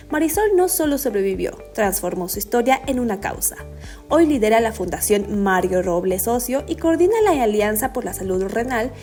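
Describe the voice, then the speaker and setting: Woman announcer, fairly high voice, polished scripted intro, faint music underneath. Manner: polished, emphatic delivery. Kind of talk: scripted narration. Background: soft music.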